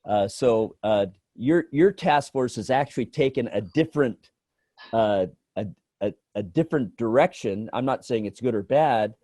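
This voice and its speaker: An American man giving informal advice on a conference call, phrasing his points haltingly. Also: mid-range voice, animated, mellow, dry recording, clean studio sound. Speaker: featured guest consultant. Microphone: video call microphone.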